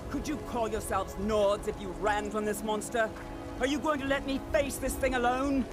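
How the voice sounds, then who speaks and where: A woman's voice: on the high side, steady tone, normal speed, natural voice. A woman playing a stern elven housecarl who gives blunt, urgent commands in a fantasy video game. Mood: rousing. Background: game music.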